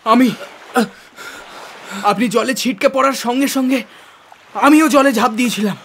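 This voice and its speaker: A man's voice: extremely high pitch, measured pace, fairly bright tone, slight background noise, full character voice. An actor playing a loyal, deferential manservant speaking respectfully to his master in an audio drama. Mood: loyal, reassuring. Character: devoted, loyal manservant of a shipwrecked adventurer.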